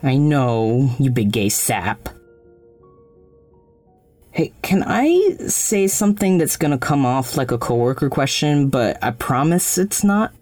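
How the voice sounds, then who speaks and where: Low, mellow, caring young woman. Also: very animated, takes it slowly, soft background music, exaggerated voice. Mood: affectionate, encouraging. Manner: casual, tentative, supportive. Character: caring, frank, teasing young woman friend. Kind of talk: naturalistic audio drama acting.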